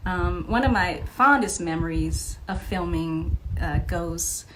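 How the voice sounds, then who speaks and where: A woman's voice: on the low side, takes it slowly, a little hiss or hum, rough recording. A middle-aged woman chatting casually as an interview guest, telling anecdotes and quoting remembered dialogue.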